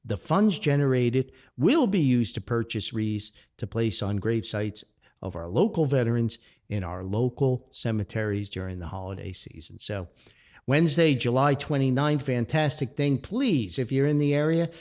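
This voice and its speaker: Animated man, folksy podcast host. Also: medium pitch, normal speed. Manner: effusive, exclamatory, folksy, digressive. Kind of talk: casual rambling podcast monologue.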